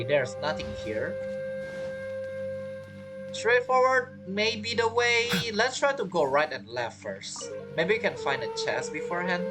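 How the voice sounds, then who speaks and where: A man giving casual game commentary, musing aloud, repeating phrases and asking himself questions. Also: a little high. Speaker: gamer narrating a playthrough. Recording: game music behind the voice.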